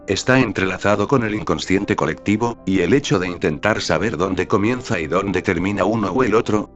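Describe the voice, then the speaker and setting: A man hosting his own channel, reading a first-person essay aloud with formal, deliberate, literary phrasing. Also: deep voice. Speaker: channel host and author.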